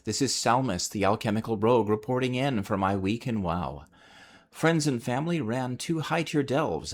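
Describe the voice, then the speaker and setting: A man gives a scripted report as a podcast listener, in a theatrical, formal radio-call manner. His voice is on the low side and moves around a lot in pitch.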